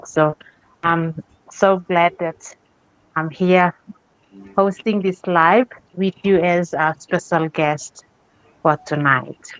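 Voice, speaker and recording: A woman's voice: lowish voice, smooth, no room sound, poor audio. A woman from Papua New Guinea hosting a live video call, graciously welcoming guests and running introductions. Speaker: host. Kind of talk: livestream introductions.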